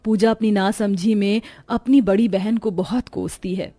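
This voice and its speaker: A woman's voice: medium pitch, fairly even intonation, a little slow, warm tone, dry recording. A woman reading a scripted dramatic story as its narrator.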